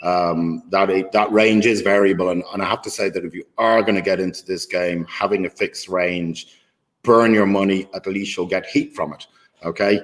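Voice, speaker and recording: A man with a lowish voice talks casually as a guest. He rambles through fillers and restarts, and he is blunt, with the odd swear word. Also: steady tone, normal speed, rough recording. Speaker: guest market maker. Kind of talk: casual video-call conversation. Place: at home in his city.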